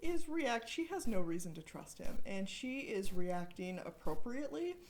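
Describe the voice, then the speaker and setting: Woman author, average pitch, casual chat with a dry, bantering edge. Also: very animated, moderate pace. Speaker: author reading and discussing own book. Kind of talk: casual chat and dramatic reading.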